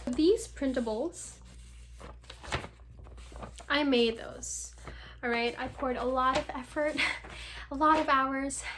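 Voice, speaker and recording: A woman's voice: a little high, fairly bright tone, slight background noise. A woman vlogging casually to the camera, chatty and filling her talk with ums and likes. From United States.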